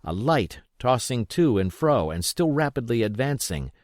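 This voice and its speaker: A man reading an adventure novel aloud as expressive scripted narration. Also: mid-range voice, normal speed, no echo, clean studio sound. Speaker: audiobook reader.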